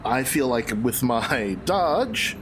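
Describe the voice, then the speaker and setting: A man's voice: medium pitch, very animated, slow pace. A man playing a tabletop game, easygoing and plainly describing what his character does. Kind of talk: casual play talk. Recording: noisy background hiss.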